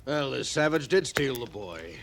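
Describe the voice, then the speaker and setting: An actor playing a stern, rigid army major with a gravelly, commanding delivery in a radio western. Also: male voice, mid-range voice, big pitch swings, normal speed. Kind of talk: 1950s radio western acting. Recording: old radio recording, faint noise.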